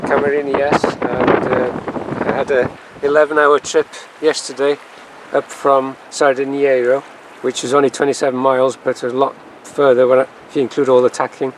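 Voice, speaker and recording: A man's voice: mid-range voice, little pitch movement, moderate pace. Rambling man on a windy sailboat. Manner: rambling, hesitant, many ums and uhs. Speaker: solo sailor filming his voyage. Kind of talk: casual video diary narration. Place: on a sailboat at sea and in harbour. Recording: noisy outdoor camera audio with wind. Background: wind and water noise.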